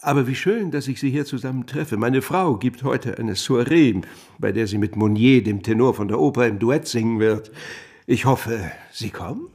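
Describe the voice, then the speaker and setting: An actor in a historical radio drama playing an ingratiating, glib society investor, effusive and flattering. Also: male voice, mid-range voice, moderate pace, natural voice. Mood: jovial, effusive. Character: jovial, ingratiating society gentleman investor, glibly loyal.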